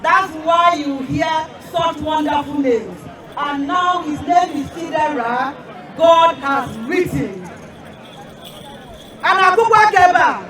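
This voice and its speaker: A woman emcees an outdoor festival, emphatically repeating and explaining answers. Her high voice rings through an echoey loudspeaker and sounds distant. A crowd murmurs steadily behind her. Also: animated, takes it slowly. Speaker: emcee quizzing children. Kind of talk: public address at an outdoor festival. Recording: echoey amplified sound from afar over crowd. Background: crowd chatter.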